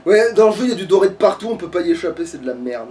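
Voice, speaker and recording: A man's voice: sits high, big pitch swings, racing, a little mellow. A man playing and commentating a video game in casual, slangy chat with crude swearing. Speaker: let's play player and commentator. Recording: somewhat distant mic.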